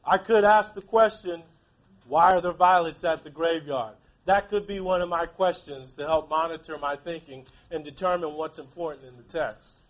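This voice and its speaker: A man's voice: on the high side, normal speed. A man teaching a class, reading aloud and prompting pupils with questions. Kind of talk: interactive classroom read-aloud and discussion.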